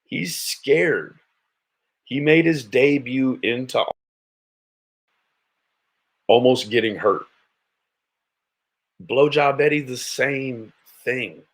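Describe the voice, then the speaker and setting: A man's voice: mid-range voice, extremely slow, long pauses. An American man hosting a casual livestream, giving blunt, mocking, sweary reaction commentary. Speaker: host of a livestream commentary show.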